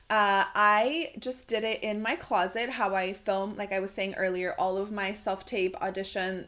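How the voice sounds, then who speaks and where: Young female vlogger, medium pitch, chatty and casual, explaining step by step to viewers. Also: fairly bright tone. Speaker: voice actor and video creator. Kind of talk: casual tutorial vlog to camera.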